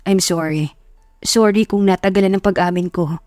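A woman's voice: fairly low pitch, steady tone, normal speed, darkish tone, no room sound, studio quality, faint music behind, lightly acted. A woman voicing an earnest, lovestruck young man making intense, sincere declarations in an audio love story. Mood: tender, firm.

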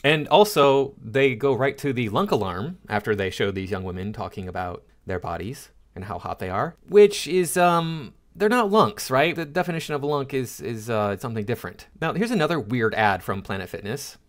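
Middle-aged American male host, medium pitch, halting and exasperated off-the-cuff opinion commentary. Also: expressive. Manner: indignant, halting, repeats himself. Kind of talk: casual opinion commentary over clips.

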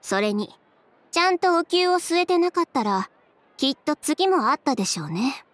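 A proud, sharp-tongued young woman from a video game. Her high voice swings expressively, yet she stays cool, haughty and unflappable. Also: big pitch swings. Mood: composed, justifying. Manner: cool, haughty, deliberate sarcasm. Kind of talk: Japanese game voice acting.

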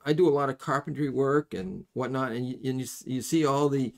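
Middle-aged man, gravelly fry, folksy, halting instructor, thinking aloud at the workbench. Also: medium pitch. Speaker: electronics soldering instructor. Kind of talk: hands-on tutorial, explaining casually while working. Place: indoors at a workbench.

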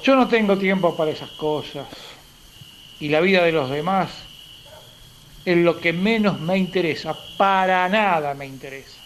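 A man's voice: a little high, moderate pace, slight background noise, natural voice. An actor playing a nervous, distrustful, self-important wealthy man in a radio drama, hesitant and defensive. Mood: guarded, proud.

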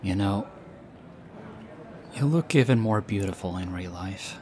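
Young man as an affectionate boyfriend, hushed, teasing, slow delivery, ambient noise behind. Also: low voice. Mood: tender, teasing. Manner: soft, hushed, teasing with light laughs. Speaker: voice actor. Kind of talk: audio roleplay. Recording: steady ambient background noise. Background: busy airport ambience.

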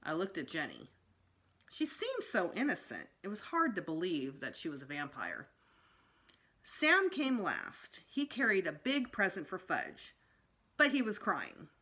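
Middle-aged female storytime reader, bright tone, lively swings in pitch. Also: measured pace. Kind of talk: expressive read-aloud of a children's book. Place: small indoor room at home.

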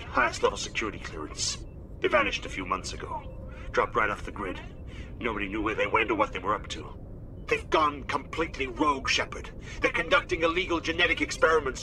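An actor playing a tense male navy admiral who delivers a hushed, urgent recorded warning in a video game. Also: mid-range voice, creaky. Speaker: military officer giving a recorded warning. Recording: noisy game audio with background ambience.

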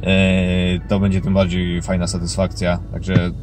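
A man's voice: low voice, completely flat delivery, measured pace, a little mellow, faint music behind. A man recording a casual fishing vlog outdoors by a river on a head-mounted camera, chatty and joking with frequent restarts. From Poland. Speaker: fishing vlogger. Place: outdoors by a river on an overcast day. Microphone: head-mounted action camera.

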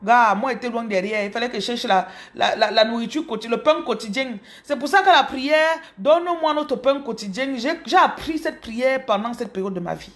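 A woman in her thirties from Cameroon with a Cameroonian French accent, telling her story to her followers in an animated, casual way, speaking close to a phone in a small room. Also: on the high side, moderate pace, hardly any pauses. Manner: animated, repeats words, addresses viewers directly. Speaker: business coach. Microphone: phone camera held close.

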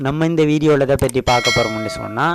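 A man's voice: mid-range voice, quick pace, background noise. A man hosting a tech channel, explaining a phone tutorial step by step in a casual voice-over with a Tamil accent and a repeated filler word.

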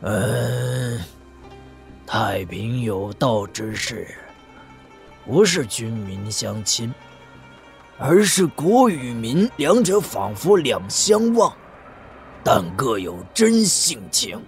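A man playing an eccentric, cynical old sage in a wuxia audio drama, with a creaky voice and theatrical, mocking delivery. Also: medium pitch, big pitch swings, extremely slow, pauses often, soft background music, exaggerated voice. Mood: wry. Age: older.

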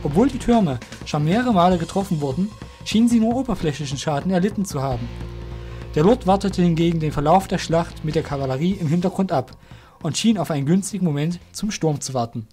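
Higher-pitched male storyteller over music. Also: lively intonation, fairly quick, warm tone. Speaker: narrator. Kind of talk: scripted fantasy audio drama narration.

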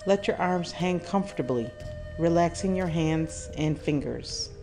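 Female meditation guide, low register, calm and deliberate delivery with pauses, over clearly audible music. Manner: soothing, measured, with deliberate pauses.

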